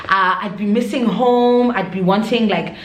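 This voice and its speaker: A woman's voice: mid-range voice, measured pace. South African woman chatting in an echoey room. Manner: animated, expressive storytelling with Zulu interjections. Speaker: vlogger, expatriate teacher. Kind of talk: casual chat to camera.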